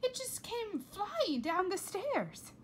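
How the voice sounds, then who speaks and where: A woman's voice: very high-pitched, very animated, slow pace, slight background noise, pitched above the performer's own voice, exaggerated voice. A woman voicing a dramatic storybook mother who gushes and exclaims with fake cheer. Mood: flustered. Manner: gushing, exclamatory.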